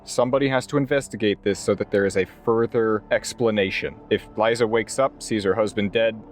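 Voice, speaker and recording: A man playing a tabletop roleplaying game, improvising in-character lines with measured, somewhat formal phrasing. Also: fairly low pitch, steady tone.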